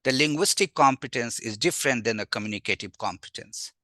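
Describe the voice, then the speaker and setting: A man with an Indian accent and Magahi as his native language gives an informal academic lecture. His voice is bright and forceful, captured dry with hardly any room sound. He teaches in an animated way, putting questions to his listeners and answering them himself. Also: medium pitch, a little fast, no room sound. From Bihar, India. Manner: animated, asks and answers own rhetorical questions. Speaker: university language teacher, invited webinar speaker.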